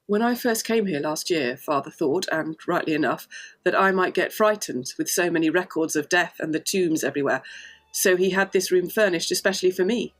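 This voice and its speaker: A woman playing an earnest, anxious young gentlewoman in a gothic audio drama. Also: fairly low pitch, fairly quick, lightly acted. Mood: polite, wondering.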